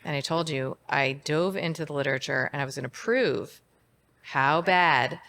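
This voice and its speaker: A woman's voice: low voice, normal speed, fairly bright tone. A middle-aged woman interviewed as a guest psychiatrist, speaking in a candid, reflective way and laughing easily. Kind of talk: interview conversation.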